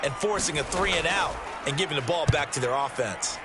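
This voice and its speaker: A man's voice: a little high, fairly quick, a loud crowd, very noisy, lightly acted. An actor playing a folksy, enthusiastic American male color commentator who chattily explains plays in a football video game. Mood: enthusiastic, explaining.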